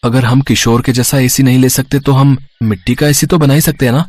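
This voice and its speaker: A man voicing an earnest, hardworking poor village boy in an animated moral story, speaking plainly and reassuringly. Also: medium pitch, a little fast, dry recording, lightly acted. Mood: hopeful.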